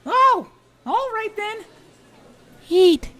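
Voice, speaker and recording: A man voicing a loud, teasing, greedy teenage girl in an amateur visual novel dub. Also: very high-pitched, animated, drawn-out words, voice pushed up, heavily acted. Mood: pouty, demanding.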